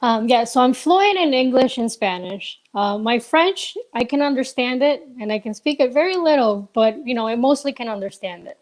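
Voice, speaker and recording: A woman's voice: fairly high pitch, expressive, normal speed, few pauses. A young woman from Ecuador, a business co-owner, answering interview questions casually and hesitantly, with many fillers and restarts, over a remote call.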